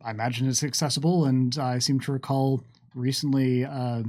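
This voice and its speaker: A man talking casually and without a script as a game developer on a Q&A stream, wry and wordy, with deliberate phrasing. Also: medium pitch, steady tone, a little mellow. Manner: wry, joking asides. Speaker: game developer answering audience questions on a live stream. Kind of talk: casual unscripted Q&A talk, reading out questions.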